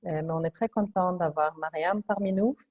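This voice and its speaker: A woman with a Swedish accent moderating a webinar in French, introducing the panel formally and pausing often to search for words. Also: fairly low pitch, lo-fi sound.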